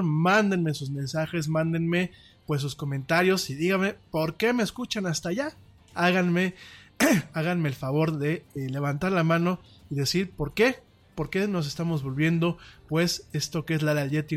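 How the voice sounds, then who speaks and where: Chatty Mexican man hosting a podcast. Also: on the high side. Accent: Mexican Spanish. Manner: chatty, rambling, frequent restarts and fillers. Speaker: podcast host. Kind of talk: casual solo podcast chat.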